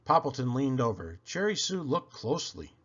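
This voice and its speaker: A man reading a picture book aloud to children, expressive and deliberate in his phrasing. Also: medium pitch, slightly rough, lo-fi sound.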